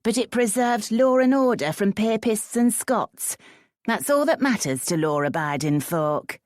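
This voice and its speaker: A woman voicing a grand wealthy widow who speaks with brisk, dismissive authority. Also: a little high, expressive, a little mellow, no room sound, clean studio sound, voice pushed up. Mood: commanding. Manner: brisk, scornful, commanding.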